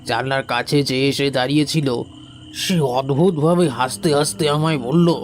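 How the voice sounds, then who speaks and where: Man playing a higher-voiced rustic village sawmill worker. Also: steady tone, moderate pace, slight background noise, natural voice. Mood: uneasy, recounting. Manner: hesitant, colloquial recounting with small interjections. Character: rustic male sawmill worker, uneasy but bold witness. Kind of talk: Bengali horror audio drama acting.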